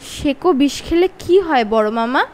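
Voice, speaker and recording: A woman's voice: high voice, animated, measured pace. A woman with a Bengali accent voicing a greedy, fearful young boy in a children's story. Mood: anxious.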